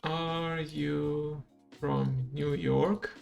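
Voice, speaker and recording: Streamer who talks a lesson through slowly; slightly higher voice with a gravelly edge. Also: male voice, little pitch movement, over music. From Romania. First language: Hungarian. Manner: slow, thinks aloud, explains step by step. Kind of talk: live-streamed language lesson playthrough.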